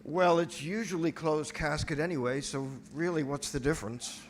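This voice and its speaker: Man acting as militant male disciple, higher-pitched voice, sardonic, argumentative, church play reading. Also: normal speed, a little hiss or hum, close to an everyday voice. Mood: bitter, sardonic. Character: Simon the Zealot, hot-headed militant disciple, bitterly cynical.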